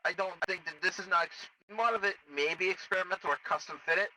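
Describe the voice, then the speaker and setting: A man's voice: high-pitched, quick pace, bright, forceful tone, dry recording, recorded from a distance, poor audio. A man playing a worried, cautious technician in a sci-fi game role-play, explaining things hesitantly. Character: worried, cautious technician analysing cybernetic implants.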